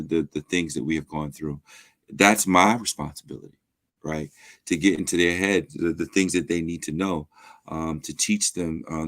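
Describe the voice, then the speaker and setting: A man, a pastor guest, explaining his views in a measured way with frequent pauses and fillers, often affirming the host. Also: low-pitched, a bit bright, dry recording. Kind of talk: conversational teaching.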